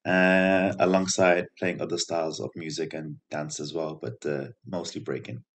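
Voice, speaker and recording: Deep-voiced male DJ from the UK. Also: level tone, normal speed. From United Kingdom. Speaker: DJ guest.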